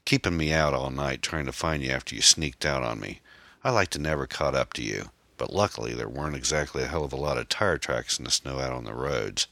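A man's voice: rumbling low, a little fast, bright, forceful tone, no room sound, exaggerated voice. A man voicing a menacing, jealous gunman, a foul-mouthed ex-boyfriend who taunts and threatens in a folksy way. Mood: angry, menacing.

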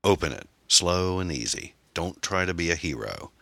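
A very deep, forceful male villain acted by an audiobook narrator, jealous and sneering. He drawls out taunts and threats in a folksy, foul-mouthed manner, recorded close and dry. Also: lively intonation, moderate pace, gravelly, dry recording, full character voice. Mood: threatening.

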